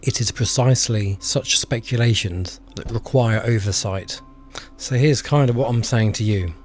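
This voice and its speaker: A man presenting a scripted video commentary, speaking in a measured, earnest way straight to his viewers. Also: lowish voice, a little mellow. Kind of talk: scripted explainer narration with direct address to viewers.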